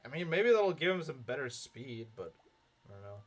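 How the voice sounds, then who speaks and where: Lively, rambling young man streamer. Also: mid-range voice, normal speed. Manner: rambling, heavy filler words, frequent chuckles. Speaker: game streamer. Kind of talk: casual live-stream commentary reading chat.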